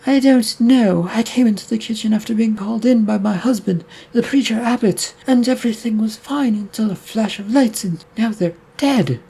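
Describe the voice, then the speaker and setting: A man's voice: high-pitched, moderate pace, gravelly, hardly any pauses, dark tone, breathy edge, pitched above the performer's own voice, full character voice, poor audio. A man voicing a flustered woman reporting a death on the phone, stammering and shaky. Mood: panicked.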